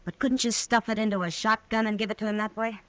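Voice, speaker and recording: A woman's voice: mid-range voice, steady tone, quick pace, a bit bright, no room sound, lightly acted. An actress playing a worldly, wry woman of the Old West in a 1950s radio western.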